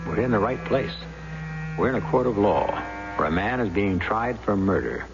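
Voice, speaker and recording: Low-voiced radio mystery storyteller. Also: male voice, creaky, heavily acted. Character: measured, wry storyteller introducing a radio mystery. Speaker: host narrator. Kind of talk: scripted radio narration. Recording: dull old radio recording, music behind.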